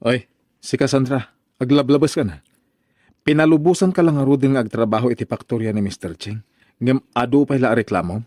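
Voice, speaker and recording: A man playing an irritable, resentful farmer husband in a radio drama, gruff and quick to blame. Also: medium pitch, darkish tone, no room sound, studio quality, lightly acted. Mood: irritated. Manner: gruff, blaming, argumentative. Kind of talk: Filipino radio drama acting.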